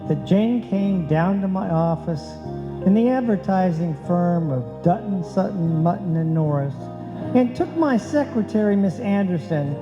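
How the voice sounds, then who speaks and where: Sardonic long-suffering husband acted by a man, old-time radio comedy, deadpan, slow delivery, reverberant hall. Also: high-pitched, over music. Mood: wry narrating. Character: dry, long-suffering wisecracking husband, also narrating. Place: live performance in a reverberant hall. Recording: dull sound, echoey hall, live performance.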